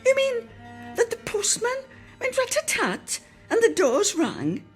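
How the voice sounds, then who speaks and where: A woman's voice: extremely high pitch, huge pitch swings, measured pace, faint music behind, voice pushed up, exaggerated voice. A woman voicing an eager, curious, cheeky small boy who questions insistently in a dramatic storybook reading. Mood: puzzled, curious. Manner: interrupting, insistent, wide-eyed questioning.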